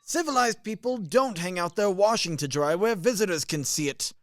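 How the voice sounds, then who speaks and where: An actor playing a blustering, old-fashioned middle-aged army major and husband in a radio play, blunt, pompous and grumbling. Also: male voice, sits high, lively intonation, moderate pace, slight background noise. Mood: grumbling, opinionated.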